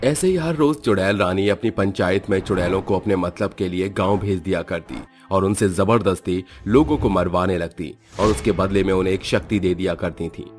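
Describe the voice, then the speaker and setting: A man's voice: sits low, moderate pace, hardly any pauses. A man narrating a scripted horror story.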